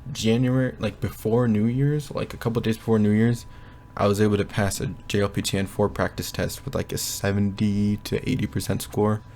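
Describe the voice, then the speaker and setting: A young man with a low, gravelly voice talks through a casual progress update from his bedroom. He rambles and hesitates, stopping often to think aloud, with faint noise behind him. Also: level tone, brisk, few pauses, darkish tone. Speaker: language learner vlogger. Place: bedroom at home.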